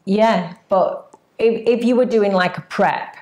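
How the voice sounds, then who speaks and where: A woman's voice: mid-range voice, takes it slowly, a little mellow. A woman co-hosting a casual podcast, talking earnestly and giving advice. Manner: earnest, advising. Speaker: co-host.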